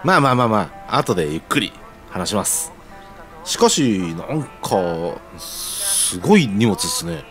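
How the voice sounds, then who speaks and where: A man's voice: lowish voice, very animated, unhurried, fairly bright tone, faint music behind. An actor playing an earnest, deferential young man, talking in casual junior-style polite speech in an audio drama. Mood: eager. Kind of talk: scripted audio drama acting.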